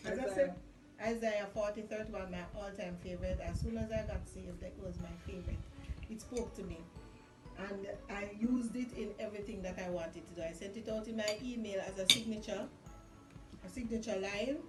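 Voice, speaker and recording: A woman's voice: mid-range voice, normal speed. A middle-aged woman with a Caribbean accent telling a personal story in casual chat, emphatic and repeating phrases for effect. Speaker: vlog guest sharing personal testimony. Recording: roomy, distant mic, music underneath. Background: music.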